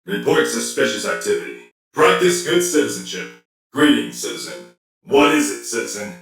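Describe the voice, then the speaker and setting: An actor voicing a stern, officious security robot in a video game, giving formal, clipped, impersonal announcements. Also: male voice, mid-range voice, lively intonation, moderate pace, creaky, distant mic. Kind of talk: video game character lines. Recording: echoey, off the mic, music playing.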